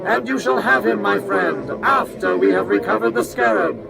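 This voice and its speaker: A man's voice: high-pitched, lively intonation, moderate pace, gravelly, heavily acted. A man playing an imperious, ruthless alien battle-cruiser commander who gives formal orders in a science fiction audio drama. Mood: cold, calculating. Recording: distant voice under loud music.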